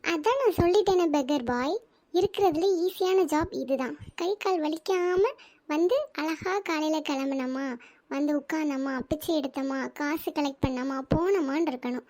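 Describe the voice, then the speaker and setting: A woman's voice: high-pitched, darkish tone, close to an everyday voice. A performer voicing a scheming young female fake beggar in a cartoon, talking in a cocky, wheedling way. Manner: cocky, wheedling begging chant. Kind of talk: cartoon voice acting.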